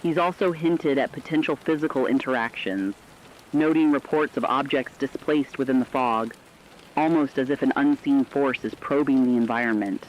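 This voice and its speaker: A man's voice: mid-range voice, normal speed, natural voice. Muffled, solemn radio co-anchor played by a man. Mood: solemn, ominous. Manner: solemn, articulate, dramatic. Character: solemn radio co-anchor reporting an eerie fog disaster. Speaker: news co-anchor. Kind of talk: scripted radio news bulletin. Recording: muffled band-limited radio sound, rain noise.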